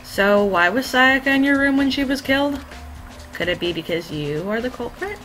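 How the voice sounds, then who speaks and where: An arrogant, cold rich teenage boy, read aloud by a woman who needles others with smug accusations. The delivery is bright and a little hoarse. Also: sits high, expressive, normal speed, faint music behind, voice pushed up.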